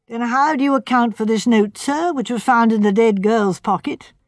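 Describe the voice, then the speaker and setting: A woman's voice: on the high side, moderate pace, no room sound, close to an everyday voice. Woman reading a stern, unemotional inspector, dry close sound. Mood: cool. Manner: cool, pressing, matter-of-fact. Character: stern, shrewd male police inspector.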